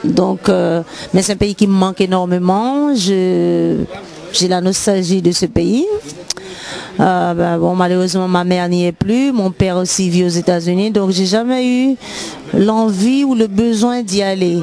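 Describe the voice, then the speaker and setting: A woman from Haiti answering questions in a street interview, talking softly and hesitantly with many pauses. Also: fairly low pitch, fairly even intonation, gravelly.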